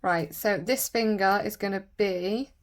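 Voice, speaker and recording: A woman with a croaky voice from a sore throat, chatting casually and rambling with lots of fillers while doing her nails. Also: mid-range voice, measured pace, slight background noise. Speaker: nail vlogger.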